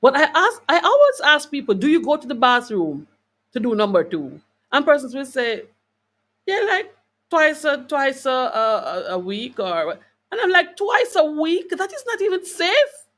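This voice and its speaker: A woman's voice: high voice, sing-song, moderate pace, fairly bright tone. Emphatic woman hosting a wellness talk. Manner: emphatic, repeats phrases for stress, preacher-like; conversational, addresses listeners with rhetorical questions. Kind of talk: live talk to online viewers, reading out comments.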